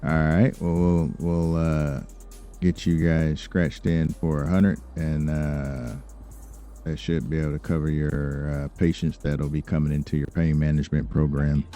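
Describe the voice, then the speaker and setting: A man plays a very deep-voiced lawyer who doubles as a crime boss in an online role-play game. His dark, mellow delivery is polite and businesslike, halting often on fillers as he makes arrangements. Music plays behind him. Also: level tone. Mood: businesslike, assured. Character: smooth-dealing male lawyer and crime boss, businesslike, obliging. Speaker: streamer role-playing in a game. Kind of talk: improvised in-character business talk.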